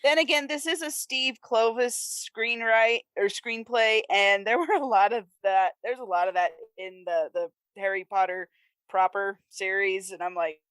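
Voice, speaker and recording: A woman's voice: mid-range voice, unhurried, fairly bright tone, clean tone, dry recording. A woman co-hosting a podcast, chatting casually about a film. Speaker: podcast co-host. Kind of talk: casual film discussion chat.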